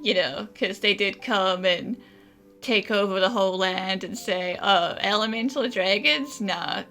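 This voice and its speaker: A woman's voice: mid-range voice, measured pace, bright, forceful tone, soft background music. A woman giving casual, joking, off-the-cuff commentary on a video game. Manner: joking, flippant. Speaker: gaming commentator. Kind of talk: casual improvised gameplay commentary.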